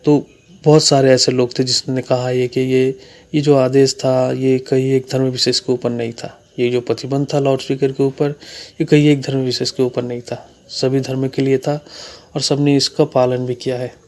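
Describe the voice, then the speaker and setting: A man's voice: mid-range voice, flat delivery, moderate pace, darkish tone. A man hosting a channel, delivering scripted news commentary with somewhat repetitive phrasing. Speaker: YouTube channel host.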